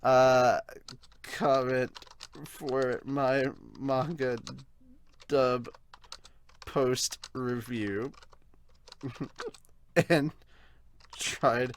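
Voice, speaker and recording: An excitable, giggly, sweary man with hiccups, hosting a casual manga review and reading out viewer comments. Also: mid-range voice, extremely slow, long pauses, a little hiss or hum. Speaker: host of a manga review show.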